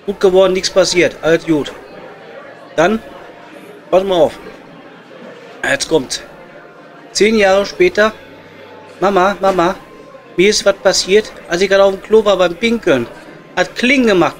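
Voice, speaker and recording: Coal miner at the pub, played by a man, high voice, Ruhr German, foul-mouthed and grumbling, improvised comedy over light music. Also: natural voice. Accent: Ruhr area German. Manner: grumbling, coarse, colloquial. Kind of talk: improvised comedy audio play. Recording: music behind the voice.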